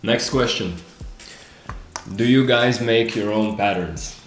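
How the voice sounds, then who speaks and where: Low-voiced Latvian man in echoey room. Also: takes it slowly. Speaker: co-host reading questions. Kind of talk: casual Q&A chat. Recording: echoey room, background noise.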